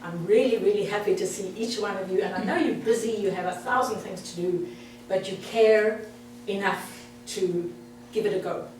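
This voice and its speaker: A woman's voice: mid-range voice, normal speed, darkish tone, a little hiss or hum. A woman in her sixties with a South African accent, giving a chatty talk to an audience. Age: 60s. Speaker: guest speaker. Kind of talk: presentation to an audience. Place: meeting hall. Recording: echoey hall, mic far off.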